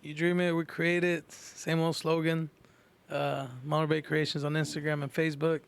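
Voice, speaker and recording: Male co-host, American, gravelly fry, casual slangy chat with swearing. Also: on the high side, flat delivery, normal speed. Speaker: podcast co-host.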